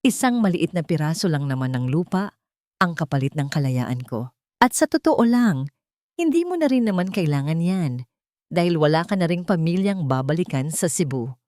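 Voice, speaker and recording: A woman's voice: deep voice, expressive, moderate pace, a little mellow, no echo, clean studio sound. A woman voicing a greedy younger brother with a condescending, unrepentant manner in an audio story. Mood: smug, callous. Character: greedy, callous younger brother, coldly dismissive.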